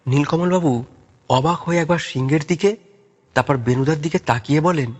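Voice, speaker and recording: Higher-pitched male story narrator. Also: moderate pace, dull audio. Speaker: story narrator, speaking as the detective's companion. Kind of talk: scripted audio story narration.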